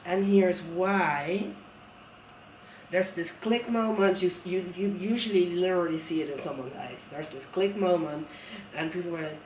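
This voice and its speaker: An earnest man with a high voice talks reflectively, stumbling over restarts as he thinks. He sounds far from the mic in an echoing room, with a faint hiss underneath. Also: normal speed. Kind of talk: casual conversation. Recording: roomy sound from a distant mic.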